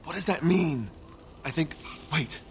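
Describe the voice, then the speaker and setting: Creaky, alarmed fantasy warrior. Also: male voice, fairly high pitch, animated, measured pace, slight background noise. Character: alarmed male warrior companion in a fantasy game. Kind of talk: fantasy video game dialogue.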